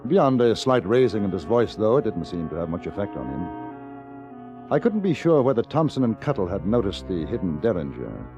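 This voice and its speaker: An American actor playing a calm, observant western traveler who narrates his own story in a radio drama. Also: fairly low pitch, moderate pace, lo-fi sound, over music. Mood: measured, watchful.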